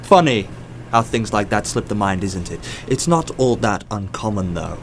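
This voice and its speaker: Low, composed, mysterious interviewer. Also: male voice, sing-song, slight background noise. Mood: knowing, grim. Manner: measured, probing, deliberate pauses. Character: mysterious, composed, probing boss judging souls in interview. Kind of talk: audio drama acting.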